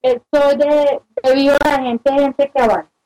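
High-voiced hesitant woman. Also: steady tone, a little slow, mellow, low-quality recording. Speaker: guest. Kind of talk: casual radio conversation.

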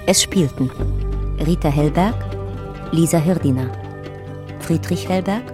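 Low-voiced woman announcer over music. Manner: measured, factual. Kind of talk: scripted radio drama title and credits announcement.

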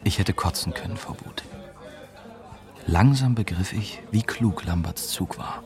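An actor playing a young German journalist, narrating a radio drama in a reflective, bitter way. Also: male voice, deep voice, unhurried, creaky, soft background music. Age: young adult.

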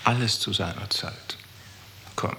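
A smug, cold male official in an old radio drama. He speaks very slowly in a lowish, gravelly voice, deliberate and courteous. Faint hiss lies under the recording. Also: pushed and bright, full character voice. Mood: calm, menacing. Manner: deliberate, self-satisfied, coldly courteous. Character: smug, cold male chief of a death bureaucracy. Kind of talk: 1960s German radio play acting.

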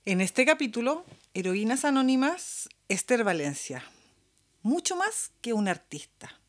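A woman's voice: on the high side, very animated, measured pace. A woman with a Chilean accent, hosting a radio show in a casual, colloquial style. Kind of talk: casual chat and show presenting.

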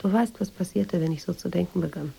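An actress playing an introspective, quietly troubled woman writer confiding her memories in a radio drama, in a measured, literary manner. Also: fairly low pitch, creaky, husky, full character voice. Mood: pensive, confiding.

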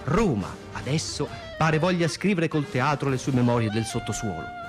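A man's voice: mid-range voice, expressive, moderate pace. A man reading scripted radio theatre narration in a declamatory, literary way. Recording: music bed behind the voice.

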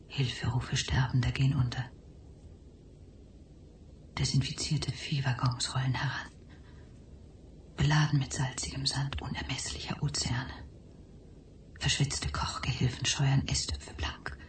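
Young woman in a trance, very low whisper, echoey and far off. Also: flat delivery, measured pace, pauses often. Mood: entranced. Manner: hushed breathy whispering. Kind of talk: radio play acting. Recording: roomy, distant from mic.

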